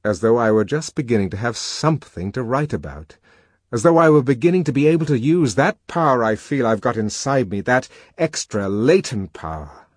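A man's voice: medium pitch, normal speed, husky, dry recording, studio quality, pitched above the performer's own voice. A man playing a buoyant, reckless male lecturer and poet in an audiobook, with an amused, emphatic way of talking. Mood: earnest. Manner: animated, emphatic, amused storytelling. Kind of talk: audiobook character dialogue.

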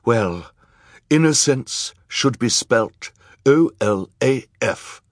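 A man's voice: medium pitch, lively intonation, slow, no room sound, clean studio sound, voice pushed up. A man playing a vain, self-important villain in an audiobook, pompous and grumbling. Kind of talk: audiobook character acting.

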